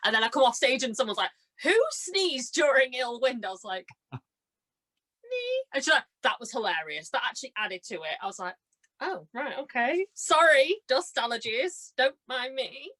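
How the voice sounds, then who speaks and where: Bright, chatty young woman. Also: sits high, normal speed. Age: young adult. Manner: chatty, animated storyteller who acts out reported dialogue; giggly, self-deprecating. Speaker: podcast guest, stage and radio drama performer. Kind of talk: casual chat, telling anecdotes.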